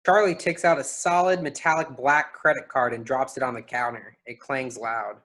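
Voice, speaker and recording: A narrator reads stage directions for a staged play reading. The voice sits at a middle pitch. The delivery is scripted, describing action between the actors' parts. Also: steady tone, moderate pace, a bit bright.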